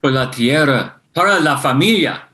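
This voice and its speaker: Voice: mid-range voice, lively intonation, moderate pace, bright, forceful tone. An actor reading a defiant young ranch heir at a screenplay table read, moving from pleading to fierce commands.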